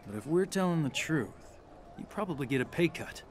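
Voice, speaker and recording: A man's voice: on the high side, lively intonation, moderate pace, slight background noise, natural voice. A man playing a confident, studious young warrior monk in a video game, blunt and a bit cocky. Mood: persuasive.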